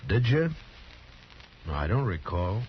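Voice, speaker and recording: An actor playing a steady, world-weary American marshal, firm but kind, in a 1950s western radio drama. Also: male voice, lowish voice, measured pace, a little hiss or hum. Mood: calm, reassuring. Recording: muffled old radio recording, music bed.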